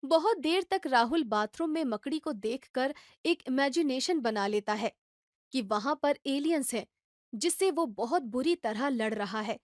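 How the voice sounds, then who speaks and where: High-voiced woman narrating a story. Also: a little slow, dry recording, clean studio sound. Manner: steady, plain storytelling.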